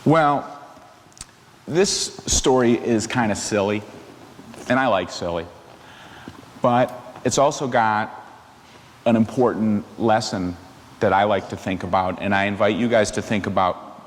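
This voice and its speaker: A man's voice: on the low side, unhurried, pauses often, a little hiss or hum. Middle-aged man in an echoey church hall. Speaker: minister leading a children's story time. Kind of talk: children's sermon, gentle explaining to kids. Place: large church sanctuary, seated on steps indoors.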